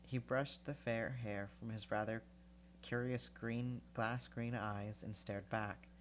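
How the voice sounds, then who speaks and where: A man's voice: mid-range voice, fairly even intonation, slow, a little hiss or hum. A man reading a novel aloud on a livestream, stuffed up from a cold, breaking off for casual, chatty asides full of fillers. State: congested from a cold.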